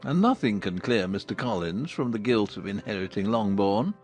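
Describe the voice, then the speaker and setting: A man playing a wry, teasing middle-aged husband and father in a dramatized audiobook, measured and drily ironic. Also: on the low side, animated, a little mellow, faint music behind, lightly acted. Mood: dryly teasing.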